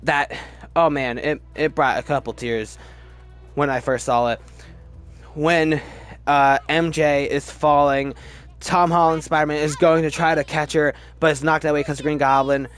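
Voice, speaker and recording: A man's voice: a little high, fairly even intonation, normal speed, a bit bright, clean tone, faint music behind. A man giving casual, opinionated commentary as host of a film ranking video, with gushing fan enthusiasm and repeated words for emphasis.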